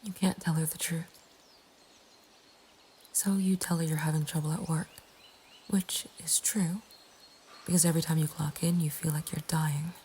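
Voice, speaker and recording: A woman narrating a scripted horror story in a breathy ASMR whisper, with a hushed, deliberate delivery. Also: deep voice, level tone. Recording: faint ambient background noise. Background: soft nature ambience.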